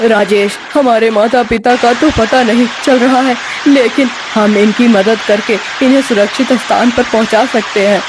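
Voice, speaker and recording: A woman's voice: on the high side, steady tone, normal speed, hardly any pauses, background noise, close to an everyday voice. A woman voicing an earnest, helpful young villager in a cartoon story, speaking with concern. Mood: earnest, worried. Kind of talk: animated Hindi story dialogue.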